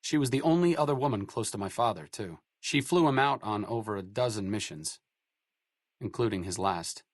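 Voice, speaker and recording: A man's voice: on the low side, expressive, moderate pace, no echo. An actor playing a bookish, dry-witted male doctor, speaking with sarcastic, literate quips in video game dialogue. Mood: reflective.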